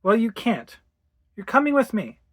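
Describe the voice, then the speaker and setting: A woman's voice: a little high, sing-song, moderate pace, breathy edge, dry recording, clean studio sound, voice pushed up. A woman voicing an anxious, fretful young mother, tense and emphatic, in a story reading. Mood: coaxing, firm.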